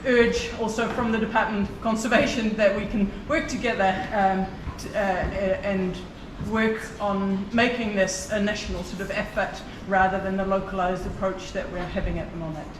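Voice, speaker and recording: A woman professor speaks earnestly from the audience at a mid pitch, with frequent hesitant ums and uhs. She sounds far from the microphone in an echoey hall, with background noise. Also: steady tone, normal speed. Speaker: professor and researcher. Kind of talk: conference question from the floor.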